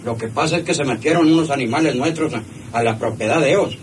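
A man's voice: medium pitch, fast talker, lightly acted. An actor playing a deferential, flustered hacienda henchman, hesitant in his explaining, in a radio drama. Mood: nervous, deferential. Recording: noisy old radio serial recording.

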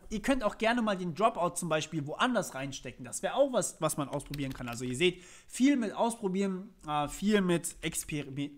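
A young man with a notably high-pitched voice hosts a programming tutorial. He walks through each step in a casual, conversational way, posing questions to the viewer and answering them himself. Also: fairly bright tone. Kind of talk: informal screencast tutorial, explaining code step by step.

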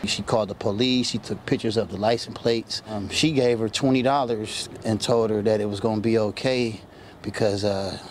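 A man speaking haltingly in a street interview outside a house, into a handheld news mic. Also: lowish voice, fairly even intonation, slight background noise. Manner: halting, emotional. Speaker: great uncle of the girl. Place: outdoors by a house.

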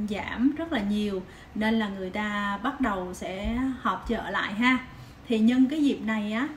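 A woman's voice: a little high, a little hiss or hum. A woman in her thirties casually narrating a travel vlog, chatting directly to viewers with lots of fillers, at home and then walking through a busy street market. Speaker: vlogger. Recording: background music over the voice.

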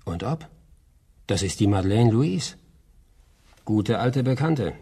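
Laconic coastal watchman, husky and mellow. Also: fairly low pitch, expressive, slow pace, heavily acted. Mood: knowing. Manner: laconic, colloquial. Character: dry, plain-spoken coastal watch man. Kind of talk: German crime radio play.

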